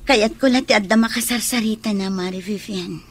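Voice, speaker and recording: An actress playing a worried, long-suffering village wife in a radio drama, with an Ilocano accent. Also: female voice, medium pitch, a little fast, a little hiss or hum, lightly acted. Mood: troubled.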